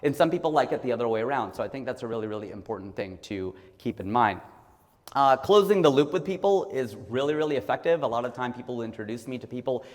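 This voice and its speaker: A man's voice: mid-range voice, animated, fast talker, a little mellow, big-room sound. A man in his forties from Ohio, giving a motivational talk in a joking, conversational way and acting out the people he quotes. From Ohio, USA. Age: 40s. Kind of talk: stand-up style motivational talk.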